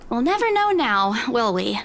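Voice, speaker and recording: An actress voicing a defensive, downcast young estate agent in a visual novel game. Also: female voice, fairly high pitch, big pitch swings, normal speed, over music. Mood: hurt, defensive.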